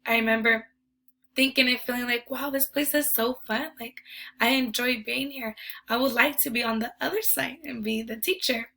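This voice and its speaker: A woman's voice: fairly high pitch, fairly even intonation, moderate pace, gravelly, pushed and bright. A young woman, a student teacher, losing her voice, talking casually with lots of ums and likes about her teaching philosophy. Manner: chatty, many ums and likes. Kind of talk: casual presentation video.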